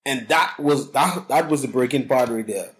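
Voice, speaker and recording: A man's voice: mid-range voice, normal speed. A talkative, boisterous man from the Bahamas with a Bahamian accent, telling his story as a guest in a casual interview. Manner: boisterous, talkative, repeats words. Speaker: guest, cannabis consultant.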